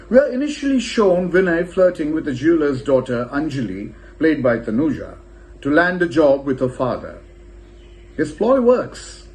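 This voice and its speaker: A man reading a scripted film review as narrator, with expressive, shifting emphasis. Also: on the high side, low-quality recording.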